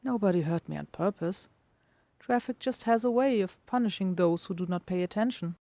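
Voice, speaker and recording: Woman playing a gentle, creaky-voiced, unafraid human. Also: medium pitch, moderate pace, dry recording, natural voice. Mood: reassuring. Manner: tender, reassuring. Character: gentle, unafraid, reassuring injured human in a romance.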